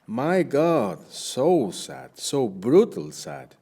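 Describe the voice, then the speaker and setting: Slow, weary shipwrecked captain played by a man. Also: mid-range voice, very animated, mellow, breathy edge, exaggerated voice. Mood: grieving. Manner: formal, archaic, measured. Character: weary shipwrecked Spanish captain, starving and plaintive. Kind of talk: audio play acting, first-person account.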